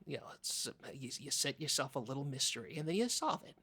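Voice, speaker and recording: A man's voice: medium pitch, sing-song, a little fast, bright, forceful tone, husky, heavily acted. A man playing an earnest, easily rattled oddball co-host on a comedy podcast, rambling and stammering as he talks. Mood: sincere, halting. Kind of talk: improvised comedy chat in character.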